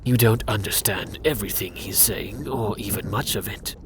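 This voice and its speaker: A man narrating a scripted second-person fantasy story for ASMR in a hushed, intimate, dramatic way. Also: lowish voice, normal speed, noisy background.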